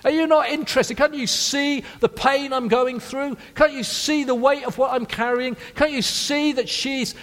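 A man's voice: extremely high pitch, fairly even intonation, moderate pace, few pauses, fairly bright tone, voice pushed up. A Welsh man voicing a frustrated, overworked woman who pleads indignantly with Jesus. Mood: pleading. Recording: long reverb of a large hall.

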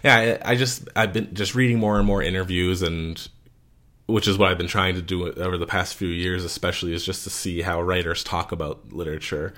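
A man hosting an interview, talking in a halting, rambling way with many restarts. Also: low-pitched, steady tone, fairly bright tone. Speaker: interviewer, host. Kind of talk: casual interview conversation.

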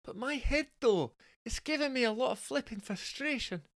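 An exasperated, hungover Scottish girlfriend, acted by a man, pitched well above his own voice. She whines and scolds in a Scottish accent, as a comic story character. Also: lively intonation, normal speed, a bit bright, no room sound, studio quality, full character voice. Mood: weary, pleading. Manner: whining, scolding.

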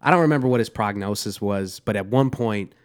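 A man's voice: lowish voice, fairly quick, smooth. Congested man chatting on a podcast. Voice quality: congested, nasal. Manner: rambling, self-deprecating, many restarts. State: slightly sore throat. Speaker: podcast co-host. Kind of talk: casual chat over beer.

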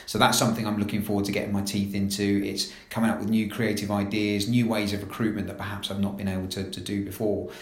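A man's voice: on the low side, level tone, quick pace, hardly any pauses, echoey room. A man in his thirties from London with a London accent, introducing himself as a new recruitment manager in a casual, filler-heavy way. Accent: London English. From London, England. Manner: chatty, frequent "uh" fillers and repeated "very, very". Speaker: assistant director of recruitment. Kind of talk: staff introduction interview.

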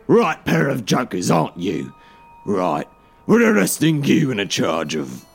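Man as angry cop, very husky, wildly swooping pitch, snarling, audiobook character. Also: wildly swooping pitch, measured pace, soft background music, heavily acted. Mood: menacing, sneering. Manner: snarling, bellowing, jeering. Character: gruff, angry middle-aged male policemen barking at teenage youths.

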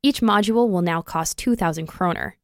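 A woman narrating a news feature in a measured, scripted delivery. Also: lowish voice, no room sound, clean studio sound.

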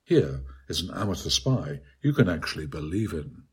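A man reads his own literary essay aloud as scripted narration, in a measured, articulate and bookish manner. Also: deep voice, sing-song, slightly rough. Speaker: author reading own article.

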